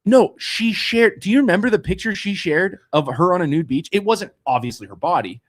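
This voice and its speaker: A man's voice: sits high, lively intonation, brisk. A man from co-hosting a podcast while tired from a cold, chatting and retelling stories with laughing asides. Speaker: podcast co-host. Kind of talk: casual chat.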